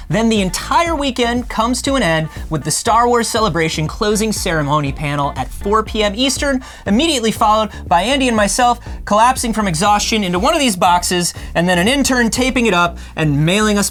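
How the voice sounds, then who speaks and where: A man presenting a scripted show as co-host, in an energetic, playful, upbeat manner. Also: high-pitched, brisk, few pauses, faint music behind.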